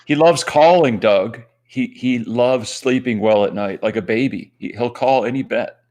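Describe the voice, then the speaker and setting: A man from Michigan with a Midwestern American accent, giving relaxed, joking live poker commentary. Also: mid-range voice, normal speed, highs cut off. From Michigan, USA. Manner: joking, laid-back banter.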